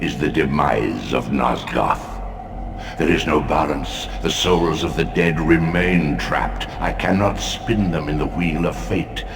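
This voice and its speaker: Game deity, male: ancient, commanding, portentous, very slow and gravel-throated, echoing over music. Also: heavily acted. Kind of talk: dramatic video game voice acting. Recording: booming cavernous reverb, music behind.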